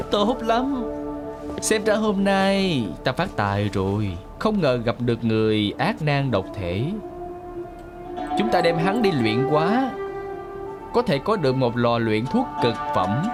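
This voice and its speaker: A man's voice: fairly high pitch, very animated, takes it slowly. A man playing an arrogant, greedy, scheming clan leader in a fantasy drama dub, with a theatrical, sneering delivery. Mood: gloating, sneering. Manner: theatrical, menacing, sneering, gloating. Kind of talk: Vietnamese-style fantasy drama dubbing. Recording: voice over background music.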